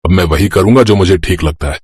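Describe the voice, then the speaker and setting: Man playing low, husky, earnest brother. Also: fast talker, darkish tone, no echo. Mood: earnest, defiant. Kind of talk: Hindi moral-story cartoon dubbing.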